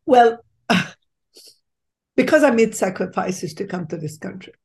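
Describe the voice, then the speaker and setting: A middle-aged woman from Egypt recounts her life in an eager, emphatic way. She pauses on fillers, restarts sentences, and leans hard on key words as a podcast host and doctor. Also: medium pitch, expressive, a little mellow. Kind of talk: conversational storytelling interview.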